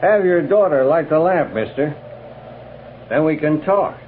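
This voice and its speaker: A man's voice: a little high, unhurried, natural voice. An actor playing a wary frontier man calling out in a 1950s radio western. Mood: wary, coaxing. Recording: tinny, noisy old radio recording.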